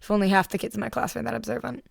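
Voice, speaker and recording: A dry, deadpan male teacher and hero, voiced by a woman in an audio story. He talks in short, formal phrases with a creaky edge. The sound is close and free of room. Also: a little high, racing, no room sound. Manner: terse, deadpan.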